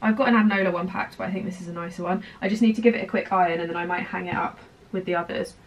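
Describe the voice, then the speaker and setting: A woman's voice: fairly low pitch, fairly even intonation, very fast, distant mic, rough recording. Chatty woman vlogging, a bit off-mic. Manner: chatty, rambling, self-interrupting. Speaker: lifestyle vlogger. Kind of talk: casual chatty vlog. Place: home room, then in a car.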